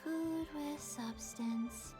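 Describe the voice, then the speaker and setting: A woman voicing a small, emotionless undead girl in a video game, speaking slowly and softly in a deadpan way. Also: sits high. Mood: listless. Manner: slow, flat, deadpan. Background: game music.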